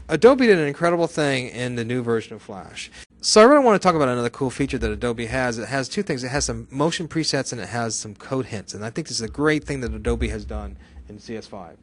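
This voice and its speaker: A man teaches software in a casual screencast style, talking at a fast clip. His pitch is mid-range, and he is chatty, punctuating the lesson with exclamations and quick questions to the learner. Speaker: software instructor.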